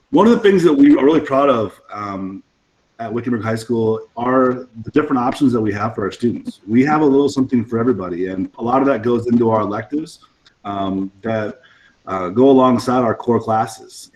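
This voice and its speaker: A man, an assistant principal who is losing his voice and sounds hoarse, presenting a list of school programs with frequent "um"s. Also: medium pitch, lively intonation, brisk, a little mellow, low-quality recording. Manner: listing school programs with many ums.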